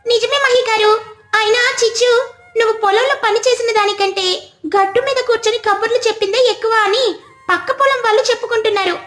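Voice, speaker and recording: A woman's voice: very high voice, fairly even intonation, quick pace, fairly bright tone, echoey room, pitched above the performer's own voice. A woman voicing a teasing, gossipy village bird shopkeeper in a children's cartoon story. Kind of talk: children's animated story dialogue.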